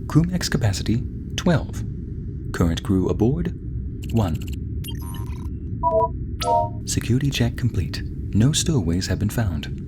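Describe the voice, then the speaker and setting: A man voicing a courteous, soothing American spaceship AI assistant in a measured, formal ASMR roleplay narration. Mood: calm, formal. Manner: polite, measured, formal announcements with gentle explanations. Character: courteous, soothing male spaceship AI assistant, eager fact-sharer. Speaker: ship's AI guide and narrator. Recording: steady rumbling spaceship ambience behind voice. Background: low engine rumble ambience.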